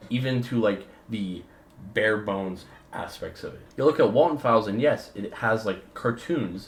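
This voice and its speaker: A man giving a casual, opinionated video essay, talking emphatically and informally with slang in a rambling way. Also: on the low side. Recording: roomy echo with background noise.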